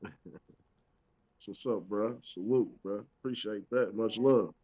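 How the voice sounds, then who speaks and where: A man's voice: fairly low pitch, takes it slowly, warm tone, highs cut off. A man in his thirties, a rapper being interviewed, chatting casually in laid-back slang. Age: 30s. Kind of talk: casual chat.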